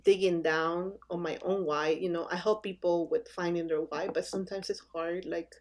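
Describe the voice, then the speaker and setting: A woman brand designer answering interview questions casually, pausing often with "um" as she thinks. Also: fairly low pitch, steady tone, darkish tone. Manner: hesitant, with many "um" pauses.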